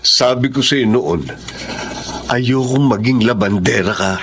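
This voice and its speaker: A Filipino man playing a gentle, apologetic working father and husband in a Tagalog radio drama, earnest and sincere. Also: medium pitch, measured pace, natural voice. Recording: clearly noisy background.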